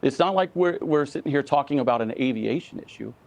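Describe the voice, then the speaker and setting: A man with a mid-pitched, strongly creaky voice answers questions in a news interview. He sounds like an earnest spokesman, measured in delivery and emphatic on his points. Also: expressive, darkish tone. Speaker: union president, interview guest.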